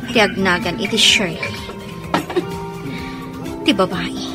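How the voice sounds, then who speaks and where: A woman's voice: mid-range voice, natural voice. A woman acting a woman out drinking with friends in an Ilocano radio drama.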